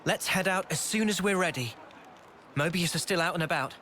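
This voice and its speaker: Young male leader for a game: slightly high, crisp-edged, earnest, resolute, considerate. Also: a little fast, bright, forceful tone, a little hiss or hum. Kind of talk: video game cutscene acting.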